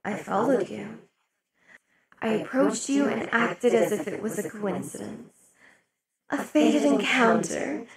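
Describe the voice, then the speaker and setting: Woman as a slow-talking demon queen, loud party crowd. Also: on the high side, gravelly, poor audio. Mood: silky, possessive. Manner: slow, velvety, dips into whispers. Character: possessive, imperious female demon queen. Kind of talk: ASMR roleplay. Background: crowd chatter of a party.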